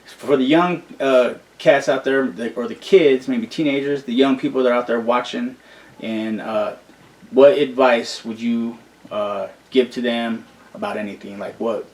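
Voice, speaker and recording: A man's voice: medium pitch, steady tone, unhurried, darkish tone, recorded from a distance, low-quality recording. A man hosting a casual interview in a laid-back, encouraging way, with many fillers. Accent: American. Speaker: interview host.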